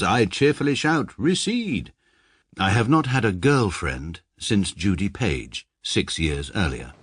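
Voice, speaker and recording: A man's voice: sits low, breathy edge, exaggerated voice. An English actor in his thirties narrating as a pompous, precise barrister in a radio drama, dry and self-satisfied. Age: 30s. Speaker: narrator and lead.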